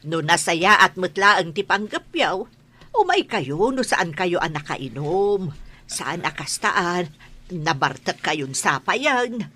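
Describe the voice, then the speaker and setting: A woman's voice: lowish voice, very animated, takes it slowly, bright, forceful tone, full character voice. A woman playing a kindly, fussing older auntie in a radio drama. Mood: reassuring.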